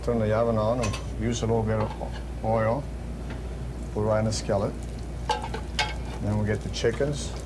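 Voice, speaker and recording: A middle-aged man from Italy with a strong Italian accent, a restaurant owner explaining a dish in halting English full of fillers. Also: on the low side, level tone, very noisy. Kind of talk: cooking demonstration interview. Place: restaurant kitchen.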